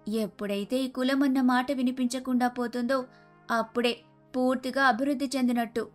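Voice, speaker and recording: A woman playing an outspoken, principled young daughter-in-law in a Telugu animated moral story, speaking in an earnest, preachy way. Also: sits high, moderate pace, lightly acted. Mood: earnest, lecturing. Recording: music under the voice.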